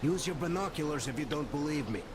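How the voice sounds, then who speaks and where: Loud rain and ambience surround a rugged soldier comrade voiced by a man from a video game. His voice sits a bit high for a male. He talks in a plain, colloquial way with urgency and concern for his companion. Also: animated, quick pace, very noisy, natural voice. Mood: grave, urgent. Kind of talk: video game cutscene acting.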